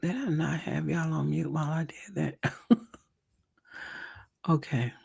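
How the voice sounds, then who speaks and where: A man's voice: on the high side, fairly even intonation, unhurried, creaky, darkish tone, slightly rough, no room sound, studio quality. A man hosting a live stream, chatting casually and thinking aloud with a congested, nasal voice. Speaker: livestream host. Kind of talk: live commentary on a court trial.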